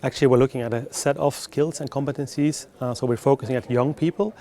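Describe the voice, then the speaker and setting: A man giving explanatory interview answers as a recruitment specialist, with measured, hesitant phrasing in non-native English. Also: mid-range voice, fairly even intonation, dark tone.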